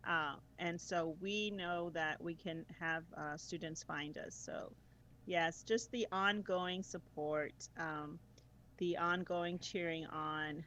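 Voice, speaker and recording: A woman's voice: fairly low pitch, fairly even intonation, slow pace, a bit bright, lo-fi sound, slight background noise. A woman guest chatting casually about tutoring, enthusiastic, laughing often and using many fillers. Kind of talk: casual conversational chat.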